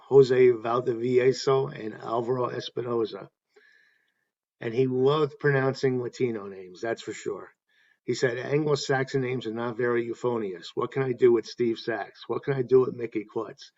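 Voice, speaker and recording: A man hosting a tribute talk, reading anecdotes in a halting way and correcting himself as he goes. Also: medium pitch, fairly even intonation, darkish tone, muffled recording. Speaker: host paying tribute to a stadium announcer.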